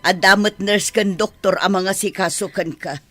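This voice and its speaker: Impatient mother, acted by a woman, middle-pitched, brusque, dismissive, callous, radio drama delivery. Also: steady tone, moderate pace, a bit bright, faint music behind, natural voice.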